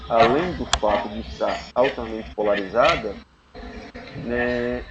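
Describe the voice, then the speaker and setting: A man with a Brazilian accent giving an academic panel talk, explaining deliberately and often adding short tag questions. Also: medium pitch. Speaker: academic panelist, researcher and ethics lecturer. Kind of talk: academic panel presentation, extemporaneous. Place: remote online conference call. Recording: dull lo-fi online call, loud noise.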